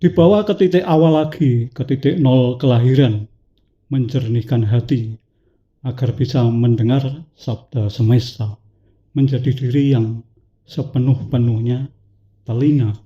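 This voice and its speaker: A man with a cough reading a poetic prepared talk to an audience, slow and measured, with dramatic pauses. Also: medium pitch, dull audio. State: has a cough. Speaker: speaker at a talk event.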